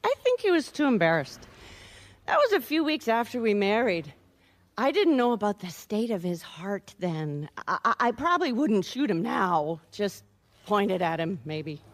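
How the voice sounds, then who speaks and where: A woman's voice: mid-range voice, sing-song, normal speed, close to an everyday voice. Radio mystery client played by a woman: cynical, blasé wife, brazen and worldly, with widely swooping delivery. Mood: wry, unrepentant. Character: brazen, cynical, wisecracking wife hiring a private detective.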